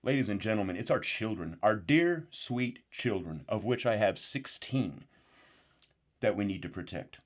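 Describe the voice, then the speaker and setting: An actor plays a paranoid uncle on a fringe talk show, speaking in a fairly low voice. He rambles through his claims and then breaks into sudden excitable outbursts. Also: normal speed, close to an everyday voice. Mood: rambling indignant. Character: rambling paranoid uncle guest, father of sixteen. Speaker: guest. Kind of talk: deadpan parody talk show.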